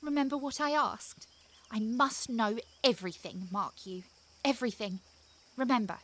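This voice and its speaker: A woman's voice: fairly high pitch, moderate pace, a bit bright. A young actress in an audio drama playing an eager, innocent and imperious noblewoman, speaking in urgent commands and questions. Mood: urgent, demanding.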